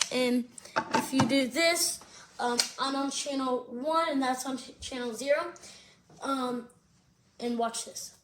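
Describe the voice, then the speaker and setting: A woman giving a casual spoken product review and demonstration, hesitant and often repeating herself. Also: high voice. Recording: faint background noise and clicks.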